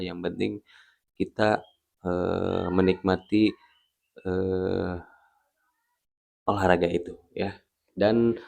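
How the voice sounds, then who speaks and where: A man in his early thirties talking casually to camera as a vlogger, in a halting way with frequent tag words at the ends of phrases. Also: deep voice, gravelly, a little mellow. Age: early 30s.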